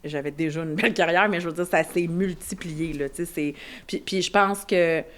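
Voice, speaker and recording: A woman's voice: lowish voice, moderate pace. A woman in her forties with a Québécois French accent, talking candidly as a podcast guest, with many fillers and restarts. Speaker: insurance advisor guest. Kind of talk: casual podcast conversation.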